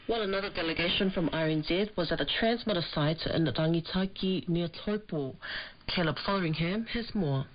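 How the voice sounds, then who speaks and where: A woman's voice: on the low side, fairly quick. A woman presenting scripted radio news. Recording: dull lo-fi shortwave radio, static.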